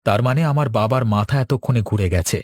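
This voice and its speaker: Man playing a husky, earnest young villager. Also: mid-range voice, a little fast, a little mellow, no room sound, clean studio sound, lightly acted. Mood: worried, determined. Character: earnest young village man, dutiful son and hopeful farmer. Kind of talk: Bengali cartoon dialogue.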